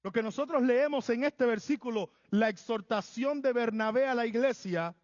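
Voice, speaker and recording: A man preaching a sermon as pastor of a church, earnest and emphatic, repeating phrases to drive his points home. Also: very high-pitched. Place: church sanctuary. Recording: long hall reverb, dull lo-fi sound.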